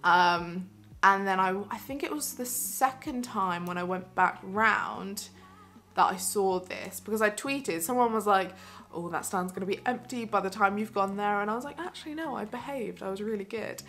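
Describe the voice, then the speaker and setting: A young woman in her twenties, chatting excitedly and giggling through a haul vlog, filmed in a small bedroom by a camera facing her. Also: medium pitch, moderate pace. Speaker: vlogger.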